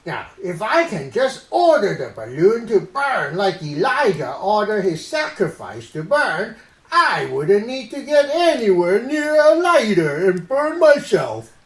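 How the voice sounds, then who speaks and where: A performer voicing Uncle Ed, a silly, earnest orangutan puppet who teaches Bible stories to children in a deliberate way. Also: mid-range voice, big pitch swings, echoey room. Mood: eager, scheming. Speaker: host of children's Bible story show. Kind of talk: children's puppet show presenting.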